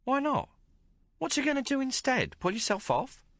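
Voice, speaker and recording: A man's voice: extremely high pitch, big pitch swings, moderate pace, fairly bright tone, no room sound, pitched above the performer's own voice, heavily acted. A man reading aloud and voicing a coarse, fiery young female prostitute. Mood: brazen. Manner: coarse, bold, mocking.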